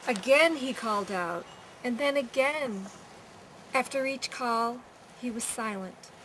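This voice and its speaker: A woman with a fairly high voice reads a children's story aloud. She goes very slowly, in measured phrases with pauses and stretched words. There is faint noise behind her. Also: a bit bright, low-quality recording. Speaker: storyteller reading a picture book aloud.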